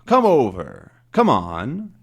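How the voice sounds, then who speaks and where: Storybook villain played by a male reader: phantom captain, mocking, menacing, very animated. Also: medium pitch, slow pace, husky, highs cut off, full character voice. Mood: gloating. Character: taunting male villain's hidden voice, posing as captain's ghost.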